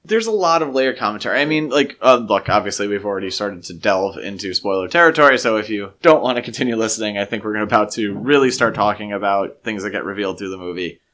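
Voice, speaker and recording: A man's voice: fairly low pitch, lively intonation, quick pace, few pauses, a bit bright. A man co-hosting a casual film discussion podcast, arguing his opinions at length. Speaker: podcast co-host.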